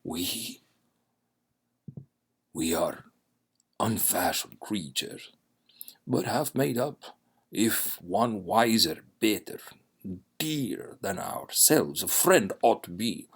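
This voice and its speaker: A broken, despairing stranger, as a male reader puts him on speaks in a theatrical Dracula-style accent, his voice pitched well above the reader's own and often pushed loud. The delivery is impassioned and faltering, with a gravelly, husky edge. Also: heavily acted.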